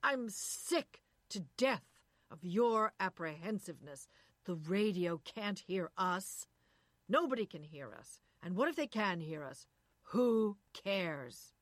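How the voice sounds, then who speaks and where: A woman voicing a bitter, anxious husband in his thirties who lectures and shouts. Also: on the low side, normal speed, no room sound, clean studio sound, natural voice. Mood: shouting. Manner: resentful, lecturing, rising to shouting.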